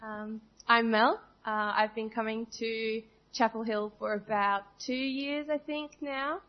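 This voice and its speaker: A young woman introducing herself at church, soft-spoken and hesitant, into a handheld mic. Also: on the high side, steady tone, measured pace. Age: young adult. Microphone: handheld microphone. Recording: dull lo-fi church recording.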